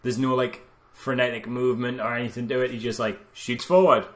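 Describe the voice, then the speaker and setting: A man's voice: mid-range voice, little pitch movement, brisk. A man co-hosting a podcast, rambling through casual, mocking film chat in an incredulous, mildly sweary way. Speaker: podcast co-host.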